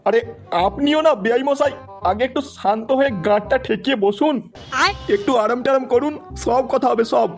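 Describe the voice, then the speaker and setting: Squeaky fast cartoon village dad, crude and fussy, lots of exclaiming, music behind. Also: male voice, creaky, a little mellow. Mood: eager, reassuring. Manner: exclamatory, scolding then coaxing, drawn-out lamenting. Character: middle-aged village father, crude, henpecking husband, pompous groom's dad. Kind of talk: comedy cartoon dialogue.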